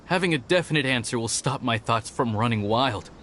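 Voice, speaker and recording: Man acting an earnest young retainer, expressive pitch. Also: brisk, a little hiss or hum, natural voice. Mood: worried, subdued. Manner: formal, hesitant, self-reproaching. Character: earnest, dutiful, anxious young male retainer. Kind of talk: video game voice acting.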